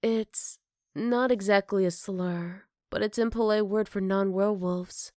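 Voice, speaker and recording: A woman playing a gentle, caring motherly werewolf in an ASMR roleplay, soft-spoken with hesitant pauses and tender teasing. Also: mid-range voice, fairly even intonation, no echo, studio quality, lightly acted. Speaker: voice actor. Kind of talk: ASMR roleplay addressed to the listener.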